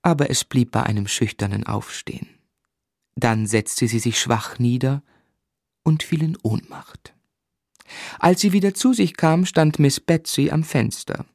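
Wry guy telling his life story, measured literary narration, dry close sound. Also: medium pitch, vocal fry, a little mellow, no room sound. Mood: wry, reflective. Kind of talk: literary narration in a radio play.

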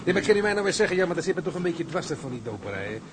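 An actor playing a rough, boastful but simple-hearted sailor, blunt and colloquial, in a period drama. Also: male voice, fairly high pitch, sing-song, racing. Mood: troubled, earnest. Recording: noisy drama soundtrack with ambience.

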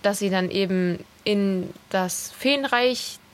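A woman's voice: medium pitch, slow pace. A woman co-hosting a podcast, chatting casually and telling humorous anecdotes in conversation with others. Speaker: podcast co-host.

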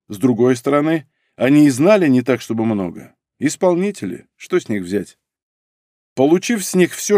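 A man narrating an audiobook in the first person, in a measured, wry reading. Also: medium pitch, mellow, no echo, studio quality.

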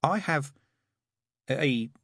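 A man voicing a fussy, prim, gentle angel in an audiobook, speaking in precise, proper, earnest phrases. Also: medium pitch, expressive, extremely slow, breathy edge, no echo, studio quality, voice pushed up, heavily acted. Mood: insistent.

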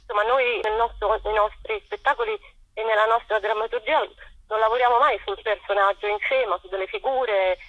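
A woman's voice: mid-range voice, fairly even intonation, a little fast. A woman, a theater author and actress, explaining her work hesitantly as a guest in a spontaneous interview over the phone. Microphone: telephone call. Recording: tinny, muffled telephone line with echo.

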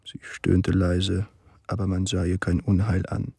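A guarded, gravelly farmhand. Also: male voice, deep voice, level tone, measured pace, mellow, dry recording, full character voice. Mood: cold, ominous. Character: guarded, cold farmhand, terse and secretive. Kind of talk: literary radio drama acting.

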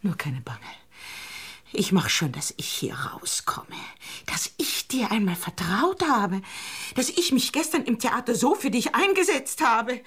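An actress playing a sharp-tongued, melodramatic woman friend with biting sarcasm in a German radio drama. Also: a little high, big pitch swings, measured pace, husky, full character voice. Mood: indignant.

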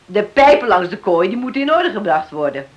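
A woman's voice: medium pitch, very animated, brisk, lo-fi sound, lightly acted. A woman acting in a Dutch radio drama as a shrewd, self-assured farm owner with a businesslike manner. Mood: firm. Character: shrewd, firm widowed farm owner driving a bargain.

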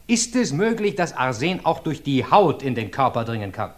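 An actor playing a sharp, determined defense attorney in a 1950s radio drama, talking urgently and insistently. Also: male voice, mid-range voice, sing-song, moderate pace, lightly acted. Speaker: defense lawyer. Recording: roomy old radio play recording.

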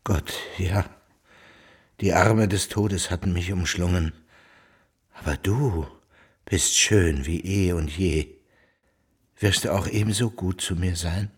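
Husky, deep-voiced old ruined baron. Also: male voice, takes it slowly, pauses often, heavily acted. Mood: weary pleading. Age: older. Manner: theatrical, weary, pleading. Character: ruined old womanizing baron, penniless, pleading and world-weary. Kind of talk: radio drama acting.

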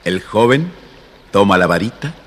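A man's voice: fairly low pitch, sing-song, normal speed, a bit bright, big-room sound. A man narrating a children's story in a scripted, dramatic storytelling style.

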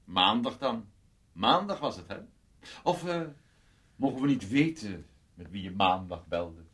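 A man's voice: fairly low pitch, lively intonation, a little slow, fairly bright tone. An actor playing a bossy, prying, needling man in a radio play, with an imperious, theatrical manner. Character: bossy, prying, needling man who orders another around.

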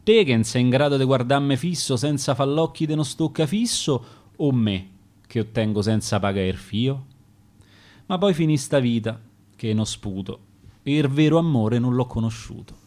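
A man with a Roman accent voicing a vain, flirtatious dead showgirl who boasts of ruling men, in a lively reading voice. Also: medium pitch, moderate pace, close to an everyday voice. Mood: sly boastful.

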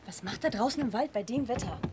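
A woman's voice: on the high side, lively intonation, fast, noisy background, lightly acted. A woman playing an uneasy, emotional teenage girl in a naturalistic radio drama.

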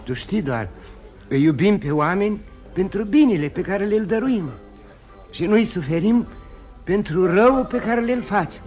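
Ruined drinker, animated and halting. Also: male voice, high-pitched. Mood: wistful, agitated. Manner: rambling confession, halting with emotional repetitions. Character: ruined drinking man, self-loathing, confessing his failed life. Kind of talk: theatrical radio drama acting. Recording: radio play with guitar music behind.

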